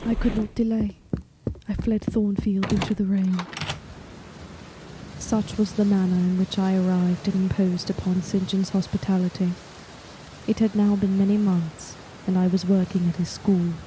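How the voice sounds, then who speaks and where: Woman playing a grave, even-toned young narrator. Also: mid-range voice, normal speed, lightly acted. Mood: grave, reflective. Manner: formal, restrained. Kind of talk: scripted literary narration. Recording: clear rain noise behind voice. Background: rain.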